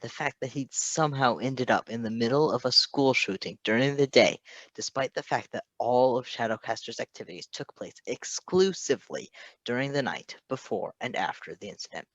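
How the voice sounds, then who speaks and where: A man's voice: mid-range voice, normal speed, a bit bright, dry recording. Man narrating fiction, close dry sound. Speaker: podfic reader.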